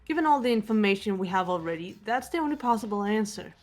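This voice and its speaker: A composed teenage girl detective acted by a woman, quietly proud and guarded. Her mid-pitched delivery is measured and restrained, in the manner of a visual novel dub, with soft music behind it. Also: animated, lightly acted. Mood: calm, analytical. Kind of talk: amateur voice acting in a visual novel playthrough.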